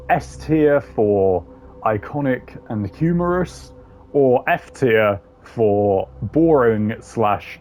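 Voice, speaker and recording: Slow-talking man doing wry gaming commentary. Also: lowish voice, a little mellow. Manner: wry, sardonic, sweary. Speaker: gaming video host. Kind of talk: casual tier-list commentary.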